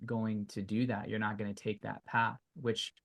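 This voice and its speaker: A man hosting a podcast interview, asking measured questions with frequent hedging fillers. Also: on the low side, flat delivery, vocal fry, fairly bright tone. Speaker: podcast host.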